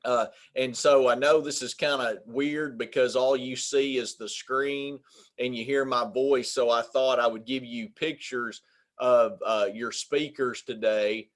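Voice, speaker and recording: A man with a Southern drawl from Arkansas presents a legal webinar. His voice sits at an ordinary middle pitch. He talks in a folksy way and pauses often on filler sounds. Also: fairly even intonation.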